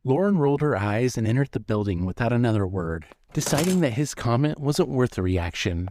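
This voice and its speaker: A man's voice: medium pitch, lively intonation, brisk, a little mellow, slight background noise. A man giving scripted narration of a fiction story.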